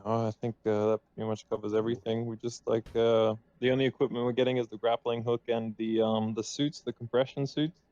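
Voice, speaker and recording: A man's voice: mid-range voice, level tone, brisk, hardly any pauses, dark tone, no echo, dull audio. A man chatting casually as a tabletop game player, agreeable and a little tentative. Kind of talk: casual chat.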